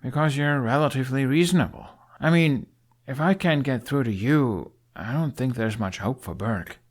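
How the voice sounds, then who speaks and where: A man's voice: medium pitch, normal speed, voice pushed up, close to an everyday voice. A man voicing an awkward, good-natured young dragon tamer in an audiobook, with a hesitant, mumbling manner. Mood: earnest. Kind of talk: audiobook character dialogue.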